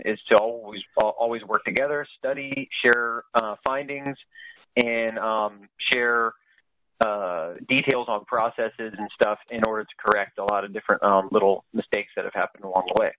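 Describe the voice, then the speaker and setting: Low-voiced man presenting, halting delivery. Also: fairly even intonation, a bit bright, dull audio. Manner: hesitant, halting, searching for words. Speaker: group presenter leading a conference call. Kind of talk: informal explanatory presentation, partly read aloud. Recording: conference call audio.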